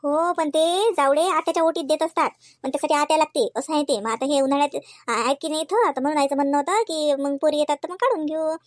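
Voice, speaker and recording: A woman's voice: high voice, fast, no room sound, clean studio sound, lightly acted. A woman voicing a practical, good-natured young wife and mother in a comedy cartoon, homely and chatty, with a Varhadi Marathi accent. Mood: explaining. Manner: chatty, homely, matter-of-fact. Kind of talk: homemade comedy cartoon dialogue.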